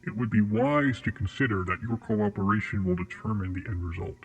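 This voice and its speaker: An actor in an audio drama playing a cold, composed, mysterious male interrogator, measured, formal and menacingly polite. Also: lowish voice, husky, low-quality recording. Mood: controlled, probing.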